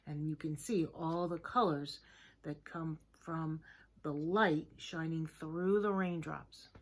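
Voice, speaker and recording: A woman teaching young children in a lesson to camera, speaking simply and encouragingly with deliberate, emphatic phrasing. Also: sits low, fairly even intonation.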